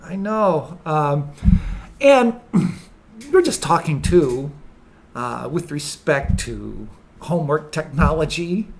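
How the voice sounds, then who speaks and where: A woman's voice: deep voice, animated, slow, a little hiss or hum. A woman hosting a conversational interview, introducing her guest and asking questions with short encouraging replies. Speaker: host.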